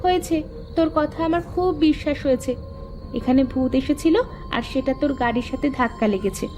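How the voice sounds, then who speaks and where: Woman acting high-pitched bossy young woman. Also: moderate pace, warm tone, a little hiss or hum, lightly acted. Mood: sceptical. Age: young adult. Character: young woman, bossy, teasing childhood friend. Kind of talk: Bengali animated story dialogue.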